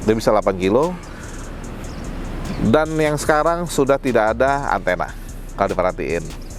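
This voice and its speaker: A man's voice: on the low side, expressive, moderate pace, faint music behind. A man giving a casual product demonstration, explaining things colloquially and speaking directly to viewers. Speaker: product presenter.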